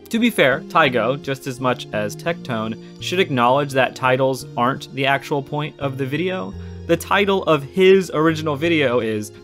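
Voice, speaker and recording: A man's voice: medium pitch, fairly bright tone. A man giving casual, conversational but argumentative commentary for a response video, leaning on key words. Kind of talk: casual commentary to camera or mic. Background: background music.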